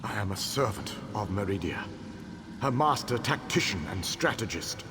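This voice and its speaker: An actor playing a proud ancient elven king and calculating tactician, speaking in a measured, formal, commanding way in a video game. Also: lowish voice, animated, noisy background, close to an everyday voice. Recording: long hall reverb with loud ambience.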